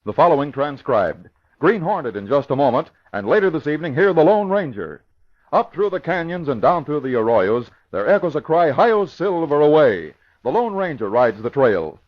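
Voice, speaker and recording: A man's voice: a little high, sing-song, normal speed. A man reading a dramatic old-time radio promo in a formal, declamatory manner. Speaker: radio network announcer. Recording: dull old radio broadcast recording.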